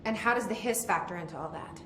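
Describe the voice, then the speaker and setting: A determined young female agent who speaks tersely, with a dry edge, as an actress plays her. Steady noise sits behind her voice. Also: mid-range voice, fast, reverberant, natural voice. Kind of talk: video game cutscene acting.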